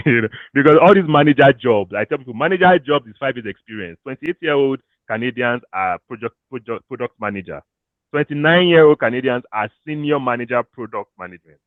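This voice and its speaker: A Nigerian man giving career advice to an audience in a casual, animated way, slipping into pidgin and laughing often. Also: medium pitch, a little fast, dry recording. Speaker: career coach. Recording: thin phone-like dry audio.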